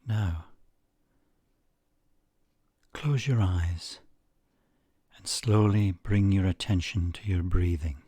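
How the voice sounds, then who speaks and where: A man narrating a guided meditation in a measured way, leaving long pauses between short phrases. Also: low-pitched, mellow, slightly rough, no echo.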